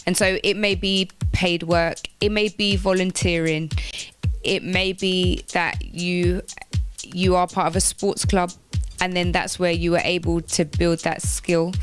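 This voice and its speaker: A woman's voice: on the low side, little pitch movement, a little slow, soft background music. A woman presenting informal careers advice on the radio, explaining things step by step and repeating phrases for emphasis. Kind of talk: informal instructional talk on job applications.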